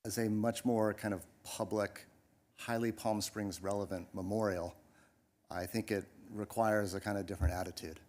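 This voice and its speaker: A man's voice: on the low side, steady tone, normal speed, darkish tone. A man presenting a project to a city commission, hesitant with many restarts, then emphatic. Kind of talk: public meeting discussion. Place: council chamber.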